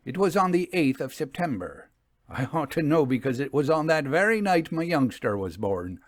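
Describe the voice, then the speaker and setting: A man's voice: on the high side, very animated, normal speed, dry recording, clean studio sound, pitched above the performer's own voice. A man voicing a plain-spoken, respectful working signalman in a ghost story reading, with emphatic, colloquial speech. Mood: earnest, gossipy.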